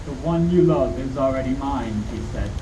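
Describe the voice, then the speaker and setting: An actor playing a grave, commanding vampire-hunting professor with emphatic, theatrical radio-play delivery. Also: male voice, mid-range voice, animated, moderate pace, natural voice. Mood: hushed, probing. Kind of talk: 1930s-style radio play acting. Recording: echoey hall, distant mic, background noise.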